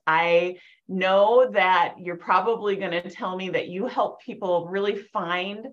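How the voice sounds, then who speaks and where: A woman's voice: on the low side, fairly bright tone, lo-fi sound. A woman hosting a podcast, reading a guest introduction and then chatting enthusiastically. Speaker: podcast host. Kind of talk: podcast interview.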